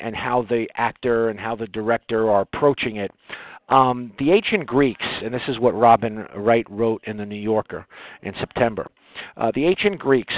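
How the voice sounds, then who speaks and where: A male interview host speaks in a mid-pitched voice through a thin, phone-like signal with little room sound. His delivery is conversational and halting, with repeated words as he builds each thought. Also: no echo.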